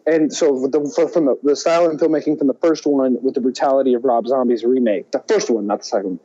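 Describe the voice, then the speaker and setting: Male co-host, soft dark tone, excitable rambling chat, muffled audio. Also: medium pitch, quick pace. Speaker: podcast co-host. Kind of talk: casual movie chat. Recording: dull, muffled lo-fi sound.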